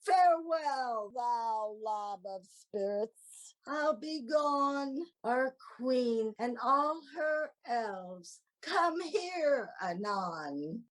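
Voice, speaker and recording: An airy fairy spirit, played by a woman, speaks in a high voice. The verse is theatrical and Shakespearean, delivered at a very slow overall pace. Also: lively intonation, low-quality recording. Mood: lilting, brisk.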